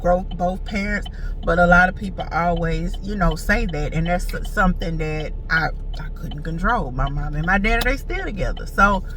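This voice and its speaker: A woman's voice: lowish voice, normal speed, fairly bright tone, far from the mic, faint music behind. A woman, chatting casually and sassily to her viewers as a vlogger. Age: 40s. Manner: chatty, sassy, talks straight to viewers. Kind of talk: casual storytelling chat. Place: in a car.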